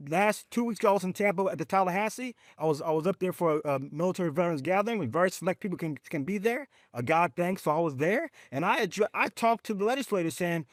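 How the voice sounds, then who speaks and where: A man with a slightly high voice talks in a podcast conversation about veterans' causes, in a dry, close recording. He tells stories with emphatic, animated drive and often speaks of faith. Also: quick pace, hardly any pauses, no echo. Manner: rising pitch when excited. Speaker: veteran advocate guest.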